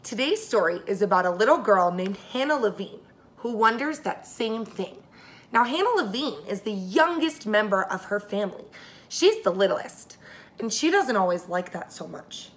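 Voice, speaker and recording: A woman preschool teacher reading a story aloud to young children, expressive and speaking to them directly. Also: mid-range voice, normal speed.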